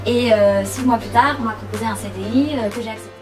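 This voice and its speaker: Woman in her 20s, slightly high voice, casual testimony with pauses, distant mic and music. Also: steady tone. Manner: hesitant, filler pauses. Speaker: company employee giving testimony.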